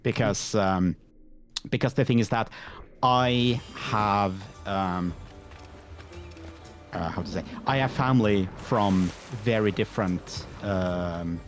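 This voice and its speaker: A man with a lowish voice, talking casually on a game stream. He stumbles over words, repeats himself and fills pauses with "um", while faint music plays behind him. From Norway. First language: Norwegian. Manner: hesitant, many fillers and restarts.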